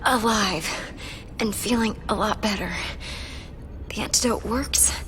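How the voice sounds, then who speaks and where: A woman's voice: medium pitch, unhurried, natural voice. A woman playing brave young American woman, noisy ambience. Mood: calm, recovering. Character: smart, brave, caring young woman, superhero's girlfriend. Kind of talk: video game cutscene acting. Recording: clear background noise and ambience.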